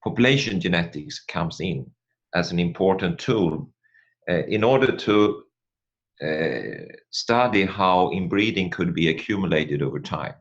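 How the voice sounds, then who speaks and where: Middle-aged male academic, Swedish accent, low register, halting lecture delivery, dull recording. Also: lively intonation. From Sweden. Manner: hesitant, halting delivery with repeated words. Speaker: academic guest speaker, population geneticist. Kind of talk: academic presentation.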